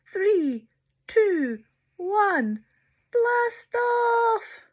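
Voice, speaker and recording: Bossy female hippo for a picture book, voiced by a woman: high, loud, swooping, full of eager commands. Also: sing-song, extremely slow, full character voice. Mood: rousing, triumphant. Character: bossy, excitable female hippo dreaming of space. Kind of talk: children's storybook character voice.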